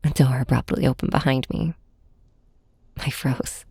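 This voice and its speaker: A woman playing a heartbroken young superhero in an intimate audio drama, speaking softly and haltingly with a breathy voice. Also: a bass voice, fairly even intonation, a little hiss or hum, full character voice. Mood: hurt, subdued. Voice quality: soft, breathy. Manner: hesitant, halting, hushed. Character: heartbroken young flying superhero confiding in and snapping at nemesis. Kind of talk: first-person audio drama narration, ASMR hybrid.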